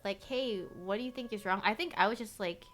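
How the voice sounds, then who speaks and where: A woman's voice: mid-range voice, brisk, a bit bright, soft background music. A young woman whose first language is Korean, livestreaming casual chat with viewers. She rambles, fills her talk with "like," and laughs often.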